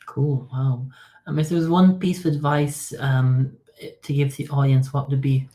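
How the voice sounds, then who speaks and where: Male interviewer for a podcast, average pitch, casual conversational questioning. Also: fairly even intonation, moderate pace, mellow.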